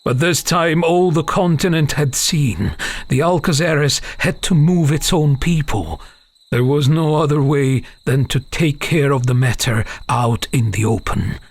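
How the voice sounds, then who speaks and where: A man's voice: fairly high pitch, measured pace. A man playing an uneasy half-elven father who tells a dramatic tale to his young daughter in a fantasy audio drama. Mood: dramatic storytelling. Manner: hesitant openings, dramatic storytelling.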